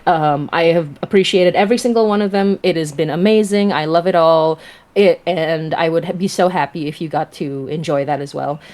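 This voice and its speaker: A woman's voice: sits low, moderate pace, a little mellow. A woman speaking as a guest creator in casual livestream chat, effusive and emphatic in the way she talks. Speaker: audio drama creator, guest.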